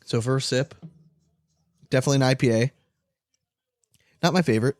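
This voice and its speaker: A man's voice: medium pitch, moderate pace, a little mellow, dry recording, studio quality. An American man co-hosting a podcast with informal, sweary banter, repeating himself for emphasis. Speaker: podcast co-host. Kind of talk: casual banter between friends.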